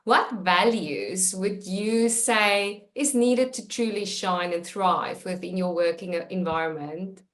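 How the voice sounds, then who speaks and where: A woman's voice: mid-range voice, slow, few pauses, fairly bright tone. A woman host whose first language is Afrikaans, asking questions in a casual interview and affirming her guest with enthusiasm. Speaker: podcast host.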